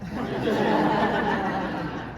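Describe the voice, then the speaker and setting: A man in his thirties from Cameroon with a Cameroonian accent, giving an animated motivational keynote at a conference and telling his story with self-deprecating jokes. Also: sits high, extremely slow, heavy background noise. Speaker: conference speaker. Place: large conference hall. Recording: echoey hall, speaker far from mic.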